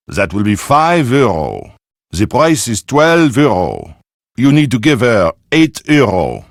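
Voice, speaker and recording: A man's voice: on the low side, no echo, studio quality, full character voice. Suave Frenchman, swooping intonation. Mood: courteous, theatrical. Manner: theatrical, pompous, precise. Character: suave, self-important Frenchman, a cafe regular with flourishes. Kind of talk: video game voice acting.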